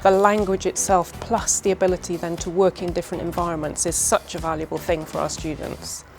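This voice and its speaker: Fast-talking, articulate middle-aged woman. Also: fairly low pitch, little pitch movement, vocal fry, faint music behind. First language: English. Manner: articulate, enthusiastic, measured. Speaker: university vice-chancellor. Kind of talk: conversational interview.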